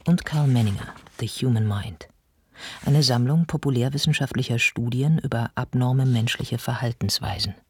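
Radio play narrator: actor as male chronicler, medium pitch, observant, factual, measured delivery. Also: husky, natural voice. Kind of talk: scripted radio drama narration.

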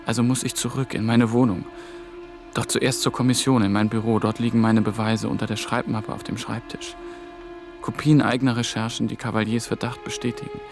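A man's voice: medium pitch, level tone, a little fast, gravelly, over music, lightly acted. An actor playing a young intern on the run, telling his story in clipped, brooding phrases for a radio drama. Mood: urgent, resolved. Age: young adult. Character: ambitious young German intern, hunted and haunted. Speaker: lead actor and narrating protagonist.

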